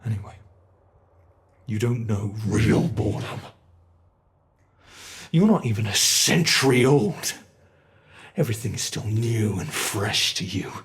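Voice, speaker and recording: A man voicing an ancient, immortal monster under the bed, speaking in a slow, brooding, gravelly ASMR horror roleplay monologue. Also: mid-range voice.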